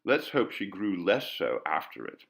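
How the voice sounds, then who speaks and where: A man's voice: deep voice, wildly swooping pitch, unhurried, vocal fry, full character voice. A man voicing a wry, uneasy, genteel lady in an audiobook ghost story. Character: Lady Jane, uneasy, wry English gentlewoman. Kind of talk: audiobook character dialogue.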